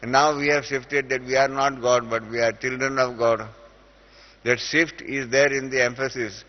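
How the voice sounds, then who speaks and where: A man's voice: medium pitch, fairly even intonation, moderate pace. Indian man teaching a class in a hall. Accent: Indian English. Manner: explains through everyday examples, with many "uh" fillers. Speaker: spiritual teacher. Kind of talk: spiritual class lecture. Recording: dull lo-fi audio with long reverb in a hall.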